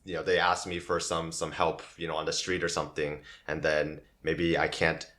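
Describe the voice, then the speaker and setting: Very deep-voiced man speaking hesitantly. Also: little pitch movement, moderate pace, a bit bright. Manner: hesitant, many "uh" and "like" fillers. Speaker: guest. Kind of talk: casual podcast conversation.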